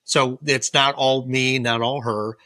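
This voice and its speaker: Man with bright, clear-cut radio voice. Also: level tone, unhurried, no room sound. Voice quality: low-timbred, radio-style voice. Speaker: podcast co-host being interviewed. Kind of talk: casual conversational explanation.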